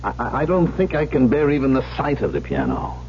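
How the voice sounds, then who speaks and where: A man's voice: mid-range voice, huge pitch swings, fairly quick, a little mellow. Despairing man, emotional, bitter, radio drama, muffled recording, faint hum. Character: despairing, bitter male concert pianist who lost a hand.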